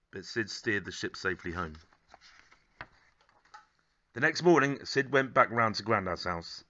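A man in his thirties reads a children's picture book aloud. His voice is fairly low and bright, with animated swings in pitch. The phrasing is measured and gently dramatic, and the recording sounds a little dull. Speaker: storybook reader. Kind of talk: expressive children's story narration.

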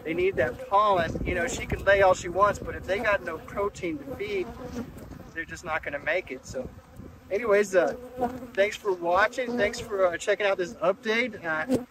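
Beekeeper man with a drawl, outdoors. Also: high voice. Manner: relaxed, halting, many restarts and fillers. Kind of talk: casual hive inspection vlog. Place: outdoor bee yard, open field. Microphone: camera mic on the beekeeper. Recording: outdoor recording with loud bee buzzing. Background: buzzing bees and wind.

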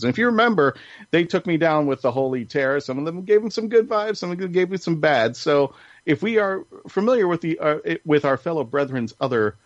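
A man's voice: medium pitch, dry recording. A man hosting a casual panel podcast, chatty and rambling, with enthusiasm. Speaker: podcast host. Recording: dull lo-fi sound.

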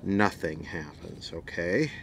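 Low voiced guy muttering dryly thru a repair demo, slow, bit of noise outside. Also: animated, vocal fry. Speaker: video host and radio repair hobbyist. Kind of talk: casual unscripted demonstration narration.

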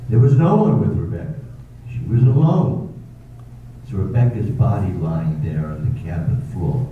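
A man's voice: on the low side, animated, moderate pace, natural voice. A man playing a tormented confessing husband. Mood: grim. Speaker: amateur theater actor. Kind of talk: 1930s-style radio drama acting. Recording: distant, echoey, tinny phone-like sound with faint noise.

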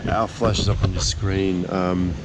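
A man's voice: low-pitched, flat delivery, unhurried, noisy background. A man in his thirties chatting casually to camera as a travel vlogger, sounding weary, recorded on a camera held at arm's length. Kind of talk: casual vlog chat to camera. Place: outdoors by a street and in a hotel room. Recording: loud music over the voice.

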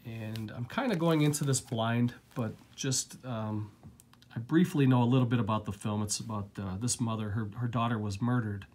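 A man with a lingering cold, casually chatting through a relaxed, rambling unboxing of his new discs, recorded indoors at home. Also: medium pitch, moderate pace. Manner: relaxed, rambling, filler-heavy. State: getting over a cold, coughing. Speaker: collector vlogger.

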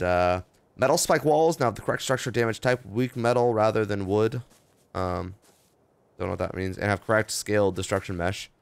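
A young man with a hoarse, worn-out voice, rambling casually through game patch notes as he plays. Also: lowish voice, dry recording. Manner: rambling, offhand, reading patch notes aloud with asides. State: hoarse, tired voice. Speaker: gaming video host. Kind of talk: casual gameplay commentary.

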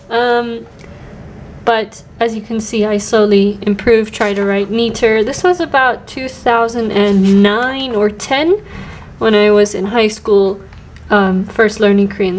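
A woman's voice: mid-range voice, slight background noise. A woman in her twenties chatting casually and self-deprecatingly as she shows her study notebooks, laughing now and then. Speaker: language learner vlogger. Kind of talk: casual show-and-tell narration.

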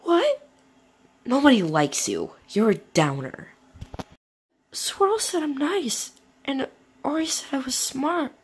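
A young woman voicing a lonely, timid young male creature whose friends ignore him, speaking softly and half in a whisper. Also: high-pitched, big pitch swings, slow pace, pauses often, voice pushed up, full character voice. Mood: hurt.

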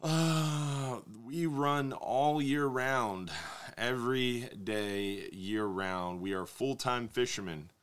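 A man in his thirties hosting a live fishing Q&A stream from a studio desk, reading viewer questions and answering them in a relaxed, conversational way. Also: medium pitch, slow. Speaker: fishing show host. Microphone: desk microphone on stand.

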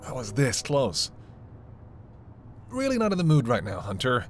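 A man's voice: medium pitch, wildly swooping pitch, unhurried, soft background music. A man playing a weary, flirtatious vampire with teasing, sarcastic banter in an intimate roleplay audio drama. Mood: irritated, teasing. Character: sardonic, flirtatious male vampire, weary and hungry. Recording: noisy, ambient sound effects behind voice.

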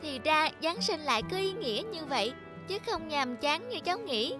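A woman's voice: high voice, big pitch swings, moderate pace, music in the background. A woman voicing a spoiled rich little girl in a cartoon, who talks in an eager, chatty, childlike way. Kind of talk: cartoon dubbing.

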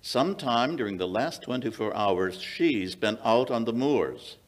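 A man's voice: medium pitch, lively intonation, measured pace. An older man playing a commanding, self-assured detective in an old-time radio drama, authoritative and explanatory. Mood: shrewd. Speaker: amateur radio theatre actor. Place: small indoor museum stage. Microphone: standing vintage-style stage microphone.

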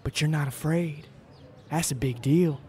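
A man's voice: on the high side, a little slow, dark tone, breathy edge. Restless, earnest small-town young man. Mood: searching, eager. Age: young adult. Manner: eager, folksy, blunt questioning. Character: restless, earnest small-town Tennessee youth, about twenty. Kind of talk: audio play acting before a live audience.

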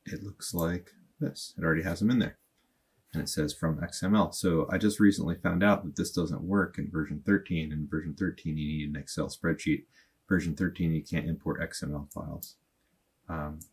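A man in his thirties at his desk, walking through a software tutorial and thinking aloud in a plain, unhurried way. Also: very deep voice, husky. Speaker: automation tutorial host. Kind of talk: casual screen-recorded tutorial. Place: small indoor room at a desk.

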